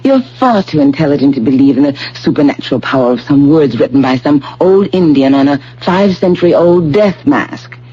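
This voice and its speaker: A woman's voice: deep voice, lively intonation, fairly quick, hardly any pauses. An actress playing a headstrong, ambitious young female graduate student in an old-fashioned radio drama, emphatic and self-assured. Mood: defiant, impatient. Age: young adult. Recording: dull, hissy old radio broadcast with hum.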